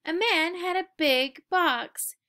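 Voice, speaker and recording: A woman reading a children's story aloud with expressive, dramatic emphasis for young listeners. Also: extremely high pitch, slow.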